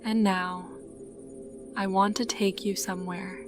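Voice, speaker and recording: Very slow, calm woman guiding sleep meditation. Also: mid-range voice, music in the background. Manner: calm, soothing, unhurried. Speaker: guided sleep meditation narrator. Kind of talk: scripted guided meditation narration.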